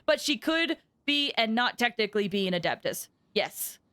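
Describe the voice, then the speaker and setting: Female game streamer, bright and lively, slightly high, casual and chatty, dry close mic, soft music behind. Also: normal speed, no room sound. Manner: chatty, excitable, swoops into squeals. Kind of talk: casual live stream commentary.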